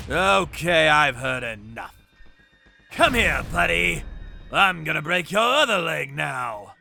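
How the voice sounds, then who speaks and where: An actor playing a ruthless vigilante torturer in a dubbed comic story, cold, menacing and mocking. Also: male voice, fairly high pitch, very animated, slow pace, creaky, pushed and bright, full character voice. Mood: cold menace. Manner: menacing, taunting. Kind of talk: dramatic first-person narration and acted fight dialogue. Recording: music behind the voice.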